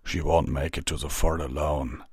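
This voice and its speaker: Stern stallion commander for a storybook, voiced by a man: low, measured, authoritative, dry studio sound. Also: fairly even intonation, darkish tone, husky, dry recording, heavily acted. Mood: measured, firm. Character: stern, calm, commanding male pony soldier.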